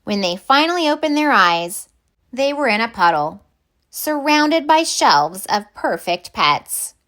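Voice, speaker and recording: A woman reading a children's picture book aloud in deliberate, expressive narration. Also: on the high side. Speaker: storybook reader.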